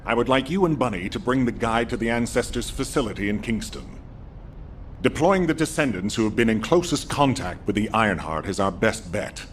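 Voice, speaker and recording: An actor playing a stern, wary middle-aged commander in a video game, measured and authoritative. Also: male voice, on the low side, expressive, slight background noise, lightly acted. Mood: commanding. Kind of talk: scripted video game acting.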